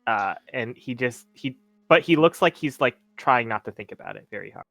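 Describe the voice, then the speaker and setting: A man's voice: mid-range voice, animated, moderate pace, a bit bright, dry recording. A man playing in a tabletop roleplaying game, describing what his character does in improvised narration.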